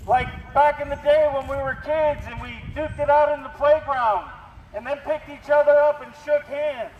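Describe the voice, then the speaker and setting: An American man giving a confrontational protest speech through a bullhorn, halting mid-phrase as he challenges an official. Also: very high-pitched, steady tone, lo-fi sound. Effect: bullhorn amplification. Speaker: protest activist challenging the governor. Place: outdoors at an official's doorstep. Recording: echoey, reverberant noisy outdoor bullhorn recording.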